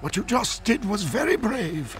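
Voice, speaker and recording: An actor playing a frail, wise old man who speaks in a solemn, measured way. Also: high-pitched, sing-song.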